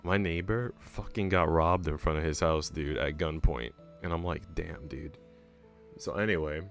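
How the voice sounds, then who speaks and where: A man's voice: extremely low pitch, normal speed, creaky. A man streaming, chatting in a slangy, rambling way between bits of a visual novel he is reading. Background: game music.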